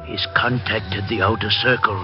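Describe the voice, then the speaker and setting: An actor playing a formal, firm doctor who assists at séances in a 1940s radio drama. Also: male voice, on the low side, steady tone, normal speed, music in the background, lightly acted. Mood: hushed, firm. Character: smooth, authoritative male doctor, sly accomplice. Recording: muffled old 1940s radio recording.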